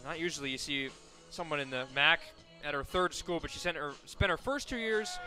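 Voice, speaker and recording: A young man calling softball play-by-play for college radio, correcting himself often and surging loud on big plays. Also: a little high, expressive, brisk, faint music behind. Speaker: play-by-play announcer.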